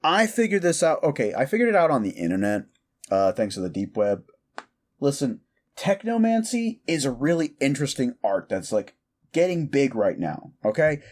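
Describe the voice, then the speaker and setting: A man's voice: mid-range voice, very animated, moderate pace. A man playing a quirky, mischievous necromancer in a comedic audio roleplay, rambling eagerly and casually with slangy stammers. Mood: explaining eagerly.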